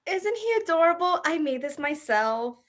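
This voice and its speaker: A woman's voice: high voice, very animated, moderate pace, a bit bright. A woman playing a gushing, cooing suburban mother in a table read of a comedy script. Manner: effusive, cooing.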